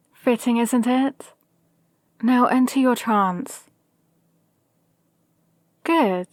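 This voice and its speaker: A woman acts out a villainous sorceress training an apprentice in an ASMR roleplay. She sounds close and dry, with a fairly high voice and slow, deliberate delivery. Her manner is calm, condescending and gently controlling, with a hint of madness. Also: no room sound. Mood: patient, instructing. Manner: gently dominant, condescending, measured. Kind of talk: ASMR roleplay with whispered asides. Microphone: close, dry microphone.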